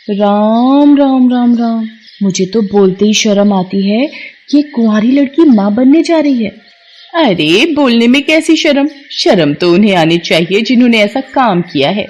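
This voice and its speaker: Shrill scandalised village gossip, put on by a woman. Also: lively intonation, a little slow, a little mellow. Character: scandalised gossiping village women, sharp-tongued and judgmental. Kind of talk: dramatised moral story.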